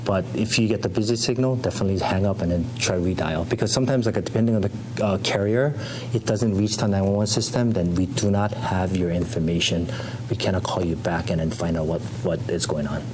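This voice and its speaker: A man speaks as an interviewed official in a fairly low voice. He explains things plainly, in halting, loosely built sentences, with slight ambient noise around him. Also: fairly even intonation, darkish tone, poor audio. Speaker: 911 call center official being interviewed.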